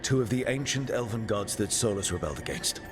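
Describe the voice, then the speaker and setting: An actor playing a composed, pragmatic leader of adventurers in a video game, speaking in a measured, dry way. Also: male voice, fairly low pitch, natural voice. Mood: grave. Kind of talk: video game cutscene acting. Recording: game dialogue under clear background music.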